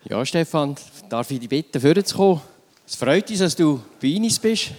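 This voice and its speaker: A man's voice: fairly high pitch, animated, normal speed, darkish tone, in a large hall. A man introducing and interviewing a guest at a church service, asking friendly, direct questions.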